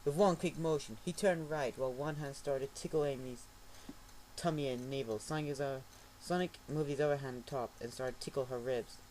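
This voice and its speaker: A man reading a fan story aloud in a mocking amateur dramatic reading, with ironic asides and shouted outbursts. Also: mid-range voice, fairly even intonation, fairly quick, very noisy. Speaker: reader.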